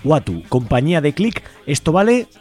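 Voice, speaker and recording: A man's voice: fairly high pitch, big pitch swings, moderate pace, a little mellow, faint music behind. A man hosting an informal radio interview, explaining things in a colloquial way. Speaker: radio host.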